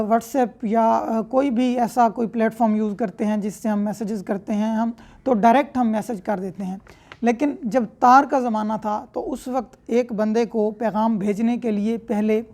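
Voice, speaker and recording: Woman, Pakistani accent, high-pitched voice, lecturer, didactic, step-by-step explanations, frequent rephrasing. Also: dark tone. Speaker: law lecturer. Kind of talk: explanatory lecture, reading English statute and explaining it in Urdu.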